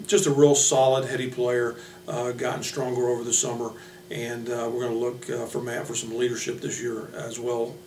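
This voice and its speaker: An American man, a basketball coach, introducing his team informally with halting, pausing delivery. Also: mid-range voice, darkish tone. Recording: echoey room from a distance, hum, noise.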